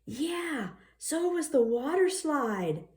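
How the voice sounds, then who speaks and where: A woman puts on a chatty, excitable schoolgirl from a picture book. Her pitch swings widely and her words are drawn out. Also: takes it slowly, heavily acted. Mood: excited.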